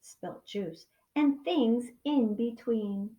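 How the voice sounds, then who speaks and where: A woman teacher reading a picture book aloud to young children, with dramatic emphasis and pauses to ask them questions. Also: a little high, muffled recording. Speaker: pre-K teacher. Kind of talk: expressive children's storybook read-aloud. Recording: echoey room sound.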